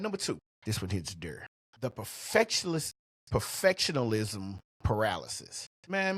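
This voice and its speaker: A middle-aged man with a husky voice hosting a motivational business podcast, speaking in a colloquial, emphatic, punchy way on a close microphone. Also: on the high side, dry recording. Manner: colloquial, emphatic, punchy delivery with rhetorical questions. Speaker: podcast host and coach.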